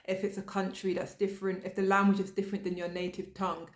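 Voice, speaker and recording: A woman's voice: medium pitch, flat delivery, fast talker. Chatty woman from the UK, echoey room. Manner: chatty, many fillers and restarts. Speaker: vlogger. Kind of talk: casual talking-to-camera advice.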